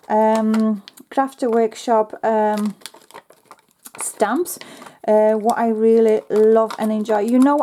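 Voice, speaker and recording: A woman with a talks her way slowly through a crafting tutorial, pausing often with ums. Her voice sits a little high but sounds mellow and soft, slightly worn as if she is losing it. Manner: chatty, talks to herself while working, frequent ums. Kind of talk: casual step-by-step craft tutorial.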